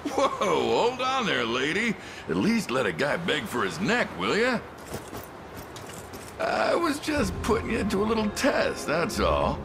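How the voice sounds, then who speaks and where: A man's voice: high voice, huge pitch swings, a little slow, music in the background, heavily acted. A man playing a wry, roguish mercenary boss in a video game, talking in folksy slang full of bravado. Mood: pleading, cajoling. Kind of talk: video game cutscene voice acting.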